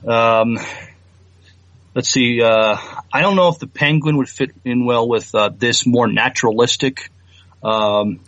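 A male podcast co-host with a medium-pitched voice, talking in a casual, halting way as he thinks aloud. The sound is very dry and a little dulled, like a modest home recording. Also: fairly bright tone, no room sound, dull audio. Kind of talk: casual chat and reading out entertainment news.

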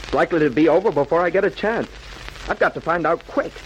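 A man's voice: fairly high pitch, fast, lightly acted. A man playing an eager, impatient and naive young fellow in a 1940s radio drama. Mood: eager, urgent. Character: eager, impatient, naive teenage boy wanting to enlist. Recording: noisy old 1940s radio recording.

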